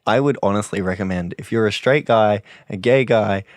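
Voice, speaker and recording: A young man with a very deep voice, co-hosting a podcast and talking passionately with lots of fillers. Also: moderate pace, dry recording, studio quality. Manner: passionate, rambling, many fillers. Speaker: podcast co-host. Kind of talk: casual podcast chat.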